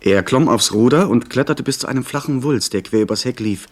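An actor playing a young gentleman who recounts his sea adventure in measured, literary radio drama narration. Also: mid-range voice, close to an everyday voice. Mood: absorbed, suspenseful. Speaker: narrator and lead character. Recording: 1970s radio play, faint background noise.

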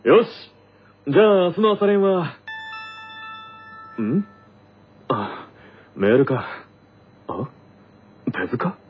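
A man's voice: a little high, big pitch swings, drawn-out words, pauses often, phone-quality sound, a little hiss or hum, exaggerated voice. A man acting as an earnest, stern teenage school tennis captain in a radio drama skit, speaking in commanding declarations. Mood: brisk, then baffled. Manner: declarative, commanding, self-serious.

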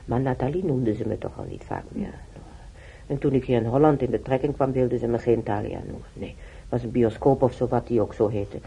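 An actress playing a kindly, resigned elderly woman in hospital in a radio play, musing quietly to herself. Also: extremely low pitch, sing-song, a little fast, vocal fry, dull audio, exaggerated voice. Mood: kindly reminiscing. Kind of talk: Dutch radio play acting. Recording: noisy radio drama recording.

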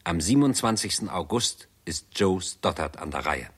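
An actor narrating a radio drama as a grave chronicler, in a measured, sober, matter-of-fact manner. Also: male voice, low-pitched, expressive, background noise. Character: grave male chronicler of a world catastrophe.

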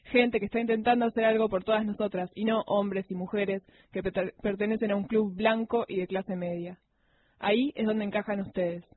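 A woman's voice: mid-range voice, fairly even intonation, normal speed. Phone-like woman delivering a defiant speech. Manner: defiant, confrontational, emphatic. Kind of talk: translated political speech read aloud with fierce delivery. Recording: narrow phone-like sound.

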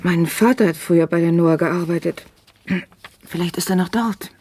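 A woman playing an earnest, high-strung young dweller of a dystopian dome city in a science-fiction radio play. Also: normal speed, darkish tone, slightly rough. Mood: reluctant. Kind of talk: 1970s German radio drama acting.

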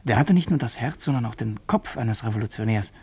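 An actor playing a young revolutionary student who narrates in the first person in a radio play, earnest and tense. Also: male voice, mid-range voice, lively intonation, brisk, darkish tone, husky, no echo.

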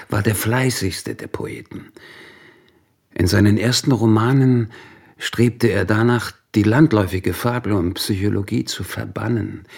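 Male narrator for literary radio drama: husky, gravelly, unhurried scripted read. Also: sits low.

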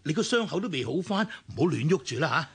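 An actor playing a kindly, anxious older uncle in a Cantonese radio drama, soothing and insistent, with a Hong Kong accent. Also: male voice, a little high, sing-song, fast talker, husky, heavily acted. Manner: soothing, fatherly, hesitant.

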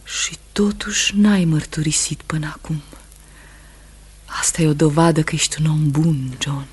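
A woman's voice: low-pitched, steady tone, darkish tone, husky. An actress playing a sorrowful, steadfast wife of a condemned man, solemn and intense in radio theatre style. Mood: tender, pained. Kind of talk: Romanian radio theatre acting.

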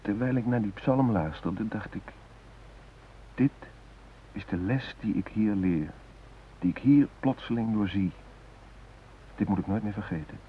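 A man acting an earnest, guilt-ridden humanist in a radio play, musing in deliberate, introspective phrases. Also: fairly low pitch, dark tone, natural voice, low-quality recording. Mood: reflective, tender. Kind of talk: radio drama monologue. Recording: hissy old radio play recording.

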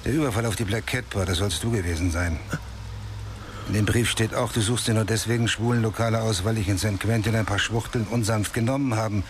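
An actor playing a cynical, self-assured police detective in a radio crime drama, with a dry, sardonic manner. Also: male voice, fairly low pitch, steady tone, fairly quick, background noise, natural voice.

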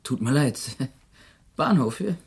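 A husky, baffled crook. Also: male voice, mid-range voice, sing-song, darkish tone, exaggerated voice. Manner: hesitant, slangy, slow on the uptake. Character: baffled, crude, uneasy male murder suspect. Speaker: actor in a radio play. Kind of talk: German radio drama dialogue.

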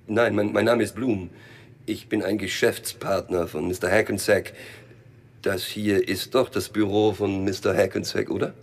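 An actor playing a wry, weary small-time drug dealer in a German crime radio play, muttering drily in a gravelly voice. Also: male voice, lowish voice, little pitch movement, moderate pace. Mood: polite, insistent. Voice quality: gravelly, creaky.

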